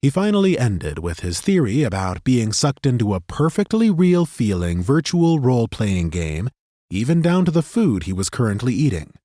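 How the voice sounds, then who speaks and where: A man narrating a fantasy audiobook in a steady, measured storytelling manner on a studio microphone. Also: mid-range voice, no room sound.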